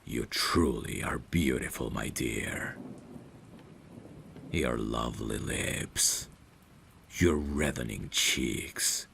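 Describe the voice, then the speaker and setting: A man playing a devoted, courteous vampire butler in an ASMR audio drama, speaking formally and gently in a hushed, gravelly voice that slips toward whispers. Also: extremely low pitch, slight background noise, exaggerated voice. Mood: adoring. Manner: slow, formal, gentle, deferential.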